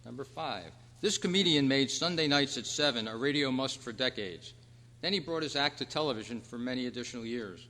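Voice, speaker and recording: A man's voice: mid-range voice, lively intonation, a little fast, pushed and bright. A man hosting a trivia quiz at a club meeting, reading questions aloud to the room. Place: indoor meeting room at a convention. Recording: echoey hall, long reverb.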